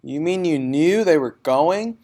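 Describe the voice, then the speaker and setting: A young girl from a storybook played by a man, pitched up and loud. She is impulsive and distraught, exclaiming and begging with eager urgency. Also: animated, a little slow. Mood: demanding.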